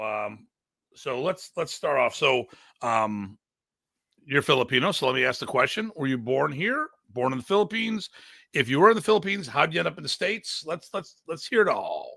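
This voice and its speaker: A man's voice: mid-range voice, vocal fry, pushed and bright, dry recording, studio quality. A man hosting a live online show, chatting casually and interviewing a guest.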